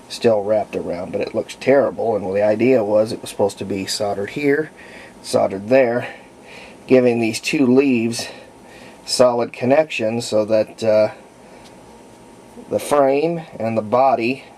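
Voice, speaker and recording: A man narrating his repair of an old radio in a halting, casual way, thinking aloud as he works. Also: lowish voice, darkish tone, slight background noise. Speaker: radio restorer.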